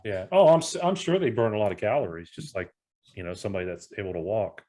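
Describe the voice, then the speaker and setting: A man co-hosting a podcast, chatting in a relaxed way and adding frequent brief agreement. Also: on the low side, quick pace. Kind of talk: casual podcast chat.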